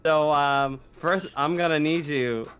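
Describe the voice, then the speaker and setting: Halting, casual man running a game. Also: on the high side, fairly bright tone. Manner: halting, repeats phrases, casual swearing. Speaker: game master. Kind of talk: casual tabletop roleplaying narration and rulings.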